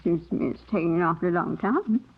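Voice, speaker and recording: A woman's voice: on the low side, fast, natural voice. An actress playing a fussing, affectionate older American mother in a 1950s radio drama. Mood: homely. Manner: fussing, homely, chatty. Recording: muffled old radio recording, faint noise.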